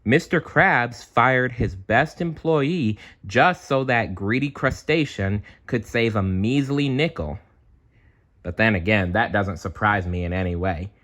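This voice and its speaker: A man reading a children's storybook aloud in an expressive, playful way, with chatty asides. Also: on the low side. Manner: animated, unhurried, playful.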